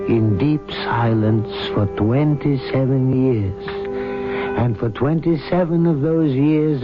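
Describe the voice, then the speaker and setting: An actor playing the narrator of a radio folk tale, telling it in a grave, measured, literary way. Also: male voice, mid-range voice, natural voice. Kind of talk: old-time radio drama narration. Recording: dull old radio broadcast.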